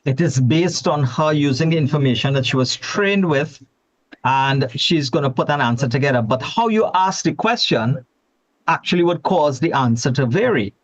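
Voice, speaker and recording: A man's voice: fairly high pitch, lively intonation, moderate pace. A man with a Trinidadian accent presenting at an online forum, chatty and repeating words as he restarts. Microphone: video call. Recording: dull lo-fi video call audio.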